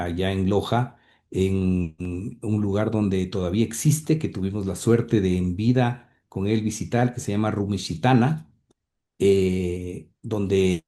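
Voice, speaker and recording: A man's voice: lowish voice, dark tone. A middle-aged man from Ecuador, recounting his family's history in an interview, hesitant with many fillers and restarts. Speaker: interview guest, grandson of the subject.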